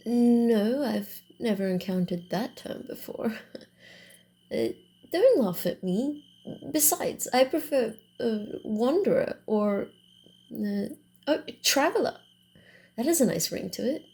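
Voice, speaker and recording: A woman voicing a proud, playful vampire wanderer in an ASMR roleplay, halting and teasing, easily flustered. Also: on the high side, dark tone. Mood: playfully indignant. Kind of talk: ASMR roleplay, acted one-sided dialogue.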